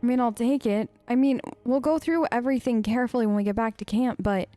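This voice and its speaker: A woman's voice: on the high side, fast, mellow, no echo. A young woman giving chatty, playful role-play commentary while playing a game, close on the mic. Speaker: let's play host.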